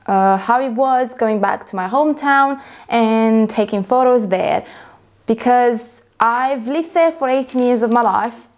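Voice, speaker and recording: A woman's voice: a little high, a little slow, darkish tone. A young woman from Romania, a photographer vlogger, talking casually to camera and rambling with many fillers and self-corrections.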